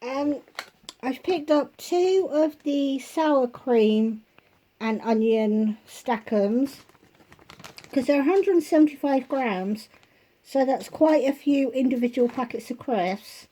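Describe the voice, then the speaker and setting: A woman vlogger at home, chatting casually through a shopping haul and listing prices, her voice going hoarse. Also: high-pitched, warm tone, rough recording. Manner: chatty, unhurried, itemizing prices.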